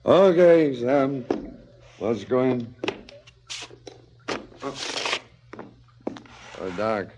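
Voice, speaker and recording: An actor playing a wry, fatalistic cop in a 1970s radio drama, drawling and mocking. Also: male voice, medium pitch, expressive, slow pace, background noise. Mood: fatalistic, musing. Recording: slightly dull 1970s radio recording.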